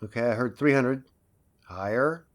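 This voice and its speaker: Male lead for audio drama: actor playing a confident university lecturer, mid-pitched, didactic with a theatrical streak. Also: moderate pace, close to an everyday voice. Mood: didactic, assured. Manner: didactic, theatrical, prompts listeners with questions. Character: self-assured male professor lecturing on future history.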